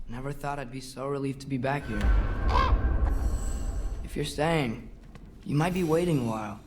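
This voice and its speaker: An actor playing an anxious, guilt-ridden teenage boy in a video game, earnest and halting, full of emotion. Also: mid-range voice, lightly acted. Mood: uneasy, self-reassuring. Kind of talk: cinematic video game acting. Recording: music behind the voice.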